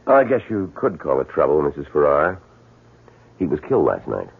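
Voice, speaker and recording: A muffled vintage radio recording of a police sergeant with an extremely deep, husky voice. He is grave, blunt and matter-of-fact, in 1970s radio play style. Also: huge pitch swings, moderate pace, heavily acted. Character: grave, plain-spoken police sergeant bringing grim news. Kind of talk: 1970s radio drama acting.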